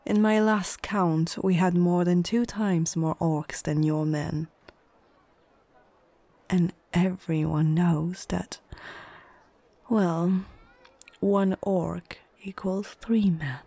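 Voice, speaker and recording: A woman's voice: on the low side, steady tone, very slow, warm tone, dry recording, full character voice. A woman playing a proud, menacing orc chieftain in an ASMR fantasy roleplay, imperious and condescending, often dropping toward a whisper. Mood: proud, threatening. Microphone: close microphone, dry.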